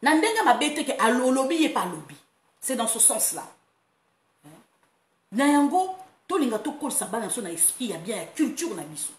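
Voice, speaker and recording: A woman from France hosting a casual online monologue, animated and quick to laugh, breaking into playful chants. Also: on the high side. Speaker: online talk host. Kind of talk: casual monologue, mixing Lingala and French. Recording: roomy echo, faint background noise.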